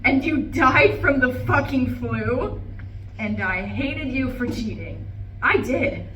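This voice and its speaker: A woman's voice: high voice, lively intonation, slow, a bit bright, exaggerated voice. A woman in a live play reading, playing a bitter, refined older divorced wife in a resentful, dramatic monologue. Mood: furious. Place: room at a live campus reading. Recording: echoey room, distant mic, faint noise.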